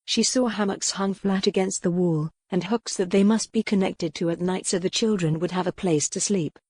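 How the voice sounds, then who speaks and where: Woman reading a romance audiobook, kinda low voice, super dry no room sound. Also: normal speed, darkish tone, slightly rough, no room sound. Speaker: audiobook narrator. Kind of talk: scripted romance novel narration. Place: dry recording space.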